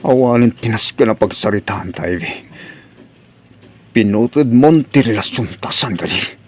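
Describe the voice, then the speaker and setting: An actor playing a bitter, scornful husband in an Ilocano audio melodrama, curt and accusatory, with a husky voice and an Ilocano accent. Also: male voice, on the low side, lively intonation, moderate pace. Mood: bitter, accusing. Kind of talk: Ilocano radio-style melodrama acting.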